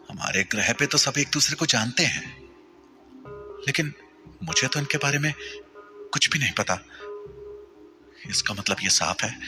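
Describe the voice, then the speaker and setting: A man voicing a bewildered male castaway from another planet, wondering aloud in an audio story. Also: lowish voice. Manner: puzzled, wondering. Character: bewildered young man stranded on a strange planet.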